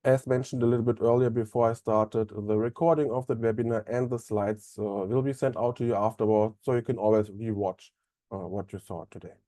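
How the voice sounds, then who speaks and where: A young man with a German accent presenting a software webinar in a relaxed way, with many fillers and restarts. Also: on the low side, a little fast, dry recording, clean studio sound. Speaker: onboarding specialist presenting a webinar.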